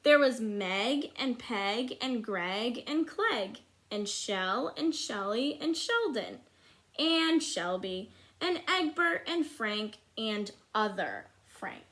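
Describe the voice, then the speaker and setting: A woman reading a picture book aloud to children, expressive and deliberate, pausing to think aloud about the story. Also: fairly high pitch. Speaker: read-aloud storyteller, teacher.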